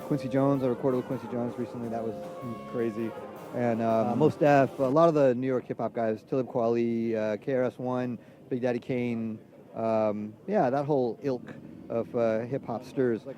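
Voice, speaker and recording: A man from Trinidad chatting casually as a musician being interviewed, using relaxed hip hop slang. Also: medium pitch. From Trinidad, from San Fernando. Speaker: jazz trumpet player, interview guest. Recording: loud music in the background.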